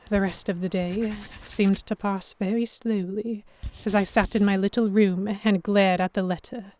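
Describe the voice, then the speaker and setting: A woman playing an anxious young noblewoman captive, narrating her own story in a measured, introspective way for a historical romance audio drama. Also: a little high, darkish tone, natural voice. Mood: anxious dread.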